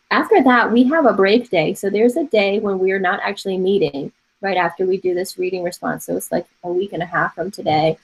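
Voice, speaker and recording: A woman in her forties, a native Mandarin speaker from Taiwan, teaches a college art class over a video call from a room full of bookshelves. Her voice is a little husky and breathy, and she talks in a loose, chatty way, encouraging her students and thinking out loud. The sound comes through a webcam mic. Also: lowish voice, poor audio. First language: Mandarin Chinese. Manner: chatty and encouraging, laughs often, thinks aloud. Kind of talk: casual online class instruction.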